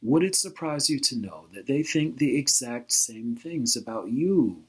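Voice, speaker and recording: A man playing a patient, reasoning skeptic in a satirical dialogue, putting pointed questions in a measured, articulate way. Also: mid-range voice, lively intonation, close to an everyday voice. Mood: probing.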